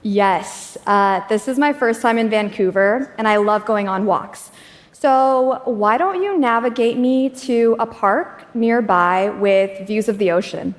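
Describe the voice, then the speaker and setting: A woman's voice: medium pitch, unhurried. A woman presenting a live demo on a conference stage, talking in a friendly, conversational way. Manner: friendly, conversational, laughs easily. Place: large conference hall stage. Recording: long reverb of a large hall.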